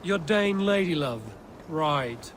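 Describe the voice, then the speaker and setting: Blunt man, highish voice. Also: expressive, slow, heavy background noise. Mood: questioning, skeptical. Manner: blunt, practical. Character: steady, blunt adult Viking warrior. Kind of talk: video game cutscene acting.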